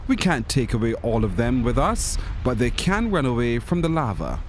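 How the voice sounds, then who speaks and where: A man's voice: medium pitch, big pitch swings, normal speed, close to an everyday voice. An earnest village father from a children's storybook, played by a man reading aloud. He instructs his family protectively, with very varied intonation. There is slight background noise. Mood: urgent. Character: boy's father, urgent, protective village farmer.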